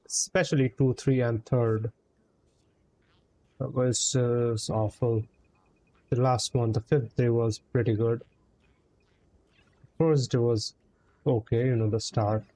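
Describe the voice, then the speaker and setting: A man's voice: mid-range voice, mellow, rough recording. A man giving casual livestream commentary as a game streamer, blunt and unenthusiastic in manner. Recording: clear background noise.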